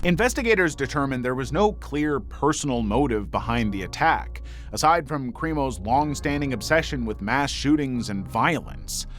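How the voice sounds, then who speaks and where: A man reading scripted true crime narration in a measured, dramatic way with deliberate pauses. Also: medium pitch, lively intonation. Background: background music.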